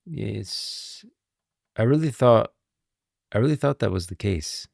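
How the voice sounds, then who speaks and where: A man's voice: lowish voice, animated, slow pace, vocal fry, darkish tone, no room sound, studio quality. A man giving casual live stream commentary on game lore, musing and thinking aloud.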